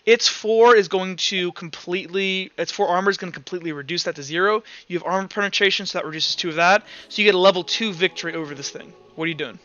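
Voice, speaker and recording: A man's voice: high voice, fast talker, few pauses, sharp tone, no echo. A man acting as game master in an online roleplay session, narrating vividly and checking rules in an informal way. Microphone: online voice call. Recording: dull online voice-chat audio with music behind. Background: music.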